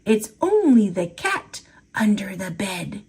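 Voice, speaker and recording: A woman's voice: medium pitch, sing-song, slow pace, a little mellow, slightly rough, full character voice. A woman voicing a small, crafty male leprechaun cobbler from a storybook, speaking in folksy turns of phrase. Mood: hushed soothing.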